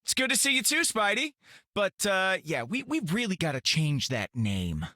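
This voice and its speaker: A laid-back, easygoing space rogue. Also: male voice, high-pitched, huge pitch swings, normal speed, bright, forceful tone, no echo, clean studio sound, full character voice. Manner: easygoing, hesitant with fillers. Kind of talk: video game voice acting.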